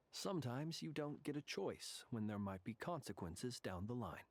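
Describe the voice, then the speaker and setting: A man voicing a courteous, composed male envoy in a game, polite and measured. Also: medium pitch, sing-song, slight background noise. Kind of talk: game voice acting.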